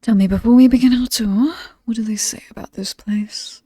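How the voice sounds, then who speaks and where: Woman as mysterious British demon hostess for horror ASMR: mellow, very close and dry, breathy whispers, playful menace. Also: a little high, fairly even intonation, dry recording, lightly acted. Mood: teasing threat. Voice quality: breathy, often dropping to a whisper. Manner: slow, teasing, coolly amused and aristocratic. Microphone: close, dry microphone.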